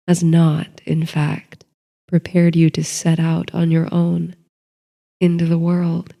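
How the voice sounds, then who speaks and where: Female sleep-story narrator: very slow, mellow, gentle and soothing, over light music. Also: on the low side. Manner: gentle, unhurried, measured. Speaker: host, sleep story narrator and meditation guide. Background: soft background music.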